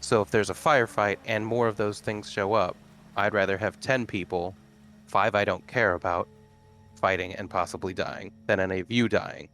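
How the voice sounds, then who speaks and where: Blunt, commanding man, low voice. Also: steady tone, normal speed, fairly bright tone, a little hiss or hum. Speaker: player. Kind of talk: in-character tabletop banter.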